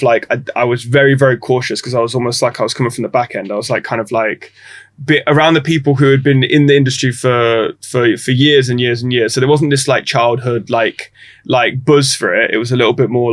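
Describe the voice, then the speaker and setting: A man's voice: medium pitch, fairly even intonation, no room sound. A young man in his twenties who grew up in Croatia speaks as a podcast guest, thinking aloud. He stops and restarts often as he feels his way through, and his voice sounds close and dry, with no room around it. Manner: halting, restarts often, thoughtful. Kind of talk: reflective casual conversation.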